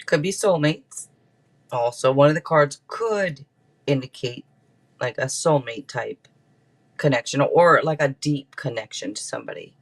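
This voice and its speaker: A man with a mid-pitched voice gives a casual live tarot reading. He talks in a chatty, rambling way and often breaks off for playful asides to the people watching. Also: measured pace. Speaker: tarot reader and livestream host.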